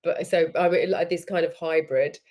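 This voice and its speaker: A woman's voice: on the low side, steady tone, normal speed, darkish tone. Woman with a hybrid Australian-British accent. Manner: chatty, laughing, storytelling. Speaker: actress and filmmaker guest. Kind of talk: casual interview chat.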